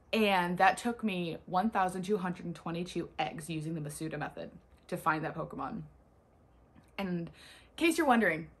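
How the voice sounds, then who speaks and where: A young woman vlogger chatting casually and expressively to camera in a husky voice. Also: lowish voice. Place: small furnished room lined with bookshelves, indoors.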